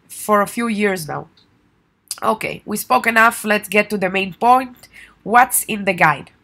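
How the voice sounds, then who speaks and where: A young woman whose first language is Hebrew chats informally to her viewers, with a voice of middling pitch. She drops plenty of fillers and restarts as she goes, and she talks the way someone does when they are pitching their own travel guide. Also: pushed and bright. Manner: chatty and informal, with many fillers. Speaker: travel guide author and tour operator. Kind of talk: casual promotional vlog.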